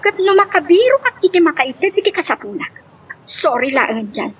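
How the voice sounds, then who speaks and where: A woman's voice: very high-pitched, big pitch swings, moderate pace, fairly bright tone, heavily acted. An actress playing a scornful, bitter woman who nags and confronts her lover in an Ilocano melodrama. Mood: bitter, annoyed. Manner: nagging, confrontational. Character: scornful, bitter female lover named Monica, demanding and fiery. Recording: faint background noise.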